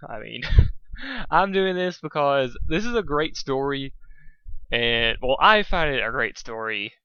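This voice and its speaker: A man's voice: medium pitch, very animated, unhurried, pushed and bright, clean tone, no echo, highs cut off. A man, an amateur reader who talks casually and stumbles in a self-deprecating way. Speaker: amateur fan fiction reader. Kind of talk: casual rambling introduction and informal story reading.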